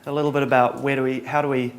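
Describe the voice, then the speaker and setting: A middle-aged man from New Zealand with a Kiwi accent, giving a relaxed conference talk with lots of ums and a dry sense of humor. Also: medium pitch, rapid-fire. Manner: casual, many ums and uhs, dry humor. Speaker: conference presenter.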